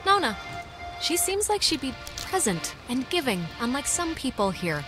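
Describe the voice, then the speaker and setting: Lively, snarky teenage girl. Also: high-pitched, normal speed, over music. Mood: wry. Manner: snarky, casual, blunt. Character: quick-witted, defiant, sardonic teenage girl. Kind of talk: naturalistic video game voice acting.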